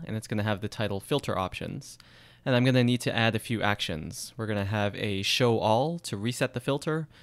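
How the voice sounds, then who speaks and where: A man talks through his code in a programming screencast, steady and methodical as he types. His voice sits a little low and sounds smooth and clear. The recording is dry and close, with no room sound. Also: dry recording. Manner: explains while typing, steady and methodical. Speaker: programming tutorial instructor. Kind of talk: screencast coding walkthrough.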